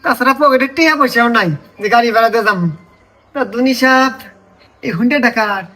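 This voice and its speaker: A woman's voice: a little high, fast, bright, forceful tone, low-quality recording. A woman playing a sly male village swindler in a comic skit, with a rural Bangladeshi accent and a pleading, melodramatic delivery. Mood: wheedling. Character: sly village swindler man, whining and wheedling.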